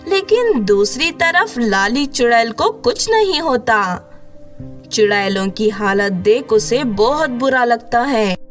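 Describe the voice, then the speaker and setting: A woman narrating a children's witch story in a steady storybook manner. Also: a little high, slow pace, soft background music.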